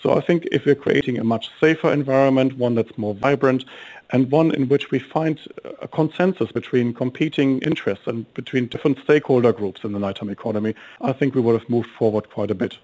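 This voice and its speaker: A middle-aged man with a German accent speaks quickly as a guest in a radio interview. He argues fluently, with the odd um. His pitch sits in the middle. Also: darkish tone, rough recording. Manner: fluent, brisk, with ums while arguing. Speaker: think tank guest. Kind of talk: interview on a talk radio show.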